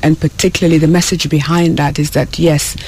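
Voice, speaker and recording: A woman from South Sudan speaking as an interview guest, halting and often searching for words. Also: low-pitched, flat delivery, darkish tone, a little hiss or hum. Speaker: guest, education program worker. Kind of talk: radio interview.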